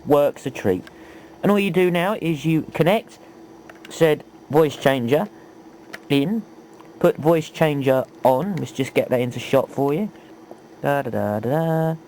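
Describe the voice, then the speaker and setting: A man's voice: fairly high pitch, measured pace, pauses often, darkish tone, low-quality recording. A man giving an informal, chatty hands-on tutorial, often asking rhetorical questions. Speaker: video presenter, hobbyist demonstrator. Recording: homemade video with faint background noise.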